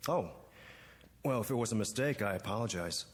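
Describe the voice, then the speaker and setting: Husky, polite young American man in reverb. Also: fairly low pitch, expressive, brisk. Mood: polite, earnest. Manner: polite, tentative. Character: polite, hesitant young Japanese-American gardener. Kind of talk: radio drama acting.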